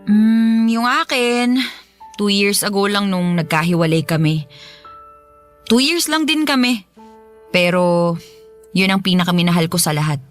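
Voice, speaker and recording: A woman's voice: mid-range voice, measured pace, pauses often, faint music behind, natural voice. A woman playing a lovestruck young woman in a radio drama, bantering expressively and candidly. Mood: nervous, candid.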